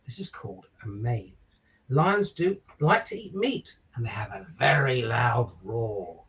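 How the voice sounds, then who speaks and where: A man's voice: medium pitch, fairly bright tone, distant mic, highs cut off. A man reading a children's picture book aloud, with chatty asides and questions to his listeners. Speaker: stand-in children's story reader. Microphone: virtual call, away from the microphone.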